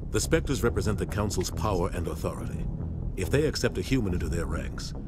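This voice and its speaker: An actor playing a grave, authoritative starship captain in a video game, speaking in a firm, measured way. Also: male voice, sits low, animated. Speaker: military captain. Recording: game audio with music underneath.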